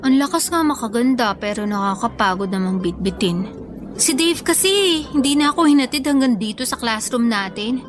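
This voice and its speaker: A young Filipino woman, acted by a voice actress in a radio drama, sweet and a little bashful. Her voice is high, and soft music plays behind the dialogue. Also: animated, normal speed, close to an everyday voice. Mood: mildly complaining.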